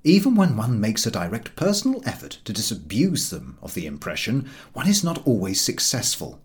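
A man reading comic literature aloud in an expressive, theatrical storytelling manner. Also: fairly low pitch, moderate pace. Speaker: audiobook reader.

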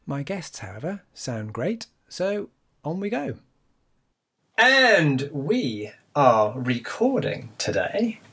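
A man's voice: a little high, very animated, slow, vocal fry, sharp tone, husky. A man hosting a cycling podcast roundtable in a teasing, chatty way, his voice thin and tinny from a badly set up call input. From England. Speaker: podcast host. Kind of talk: casual roundtable chat. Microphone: computer call input. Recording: tinny thin sound from a misconfigured call setup.

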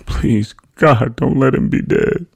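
A man voicing an excitable, nosy mother of a young boy in a narrated story. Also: mid-range voice, a little fast, dry recording, clean studio sound, voice pushed up, close to an everyday voice. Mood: desperate praying. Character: boy's mother, nosy, excitable woman, frantic under strain.

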